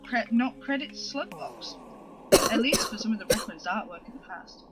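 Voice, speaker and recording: A woman's voice: fairly high pitch, lively intonation, normal speed, music in the background. A woman delivering opinionated commentary in a video.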